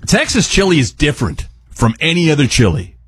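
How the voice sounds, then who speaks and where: Folksy, husky radio chef. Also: male voice, fairly high pitch, sing-song, brisk, a bit bright, no room sound. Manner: folksy, informal, rambling explanations. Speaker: resident chef and radio host. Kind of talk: casual chat explaining a recipe.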